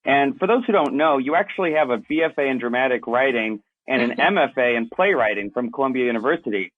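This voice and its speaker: A man's voice: medium pitch, expressive, quick pace, no echo. A man hosting a casual interview, chatting in an informal, joking way. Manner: informal, jokey. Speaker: interviewer, show host. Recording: muffled phone-like band, dry.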